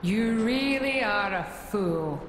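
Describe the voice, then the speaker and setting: A woman's voice: mid-range voice, lively intonation, slow pace. An actress voicing a vengeful, grieving military noblewoman in a game, scornful and accusing, in an echoey, noisy recording. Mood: cold scorn.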